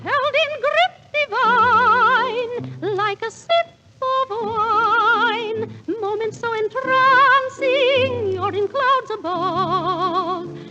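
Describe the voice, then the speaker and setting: A woman's voice: extremely high pitch, very slow, loud music behind the voice. A woman singing a waltz in a soprano voice on a muffled old radio broadcast. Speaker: guest soprano singer. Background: musical accompaniment.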